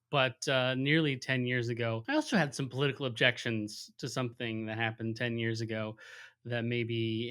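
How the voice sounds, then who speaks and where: A man's voice: medium pitch, a bit bright. A man hosting a podcast interview, friendly and rambling, asking questions and joking about himself. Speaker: podcast host. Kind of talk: casual interview talk.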